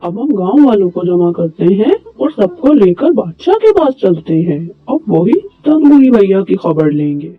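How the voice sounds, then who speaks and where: A man acts out an indignant cartoon villager, husky and very animated in pitch. He complains emphatically. The recording is dull and lo-fi, with music behind. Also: normal speed, few pauses, full character voice. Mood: determined. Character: indignant male villager complaining about bread prices. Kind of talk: Urdu moral cartoon story dialogue. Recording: dull lo-fi sound, music bed.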